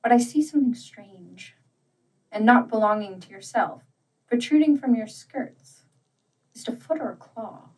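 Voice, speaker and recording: A woman's voice: fairly high pitch, animated, moderate pace, darkish tone, recorded from a distance, lightly acted, rough recording. A woman voicing an awed, uneasy old man in a storybook reading, asking questions in an earnest, hesitant manner. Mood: uneasy, curious.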